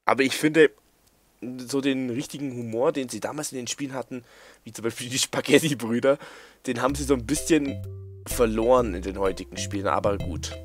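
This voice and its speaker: A young man doing casual let's-play commentary, playful and quick to giggle, reading game lines aloud in silly voices. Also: medium pitch. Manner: giggly, playful, breaks into laughter. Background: faint game music.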